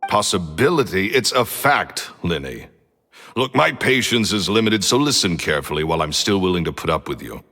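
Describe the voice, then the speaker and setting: An actor playing a short-tempered retired magician who talks in curt, blunt commands. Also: male voice, low-pitched, animated, moderate pace, bright, forceful tone, exaggerated voice. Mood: dismissive. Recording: reverb with game music.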